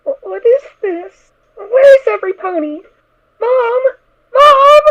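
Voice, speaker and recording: A performer voicing a small, childish pony filly, frightened and bratty, with a squeaky, whiny little-girl voice in a fan audio drama. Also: lively intonation, muffled recording, background noise, exaggerated voice. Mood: frightened, calling out. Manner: whiny, exclamatory, halting.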